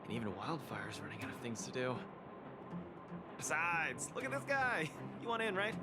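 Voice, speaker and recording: An actor voicing a boisterous, eager young male fighting champion in a video game, with an excitable, cajoling delivery. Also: fairly high pitch, normal speed, natural voice. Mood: teasing, triumphant. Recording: loud music under the voice.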